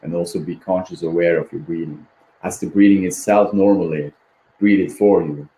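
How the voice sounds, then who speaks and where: A man leading a guided meditation in a gentle, repetitive, unhurried manner, with long pauses and a background. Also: sits low, steady tone. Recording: roomy echo, faint background noise.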